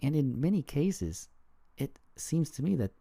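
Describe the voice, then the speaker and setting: A man hosting his own podcast, speaking in a thoughtful, reflective monologue and sometimes pausing to search for words. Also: a little high, big pitch swings, creaky, dark tone, slightly rough, no echo. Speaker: podcast host.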